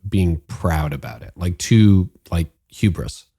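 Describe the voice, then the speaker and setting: A man's voice: low-pitched, animated, slow pace, vocal fry, dark tone, husky. A man hosting a podcast interview and asking curious, probing questions. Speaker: podcast host. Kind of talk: interviewing a guest.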